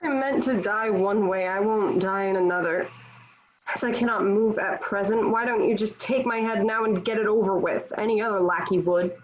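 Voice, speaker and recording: A woman's voice: medium pitch, normal speed, a little hiss or hum, natural voice. A woman playing a defiant, devout young warrior held captive, with solemn, declamatory phrasing in a table read of a historical drama, on a dull lo-fi recording. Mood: bitter resolve. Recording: dull, lo-fi home online recording.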